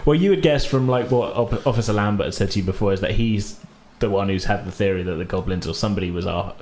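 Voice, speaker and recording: A man's voice: lowish voice, quick pace, a crowd in the background. A man narrating casually as game master, improvising informally with the odd chuckle.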